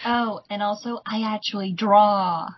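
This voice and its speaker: Husky, muffled woman, sarcastic. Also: mid-range voice, steady tone, unhurried, dry recording. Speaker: co-commentator. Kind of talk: mocking commentary and read-aloud. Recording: dull, muffled voice recording.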